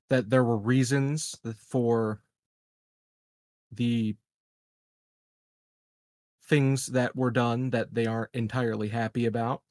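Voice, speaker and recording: A man's voice: mid-range voice, a little mellow, dry recording. A man playing a tabletop game, talking casually and hesitantly as he thinks a plan out aloud. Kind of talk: casual in-game planning talk.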